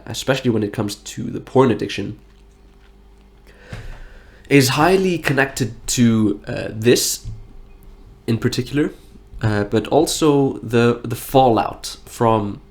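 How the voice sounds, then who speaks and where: A man's voice: on the low side, a little mellow. Nasal man with a stuffy nose. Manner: frank, reflective, rambling. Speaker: health vlogger. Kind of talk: candid personal monologue.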